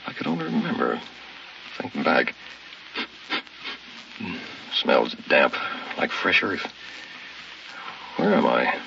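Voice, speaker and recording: An actor playing a groggy man waking buried alive, muttering in halting fragments in an old radio horror monologue. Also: extremely low pitch, expressive, gravelly, pushed and bright, heavily acted. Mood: groggy, confused. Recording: muffled, distant, noisy old radio broadcast.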